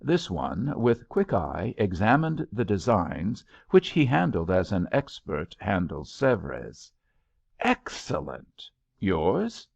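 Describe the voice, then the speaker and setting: A man reading literary fiction aloud as an audiobook narrator, in an expressive, measured storytelling manner, on a slightly dull recording. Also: fairly low pitch, vocal fry, breathy edge, no echo. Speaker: audiobook reader.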